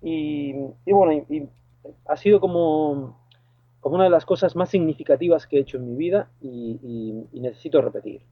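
A man's voice: fairly high pitch, moderate pace, slightly rough, no echo. Argentine man on a tinny phone line. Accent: Argentine Spanish. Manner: hesitant, many fillers and restarts. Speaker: guest, lifeguard and boat skipper. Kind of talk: phone interview.

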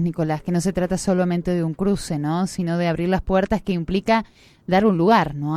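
A woman co-hosting a radio interview, speaking in a calm, reflective way. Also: on the low side, clear, resonant tone, no echo. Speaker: radio co-host.

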